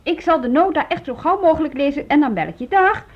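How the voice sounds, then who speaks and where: An actress playing an overworked, loyal deputy head of a music school in a Dutch radio drama, speaking hesitantly, apologetically and tactfully. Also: female voice, sits high, animated, quick pace, background noise. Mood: flustered, brisk. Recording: dull, lo-fi radio play recording.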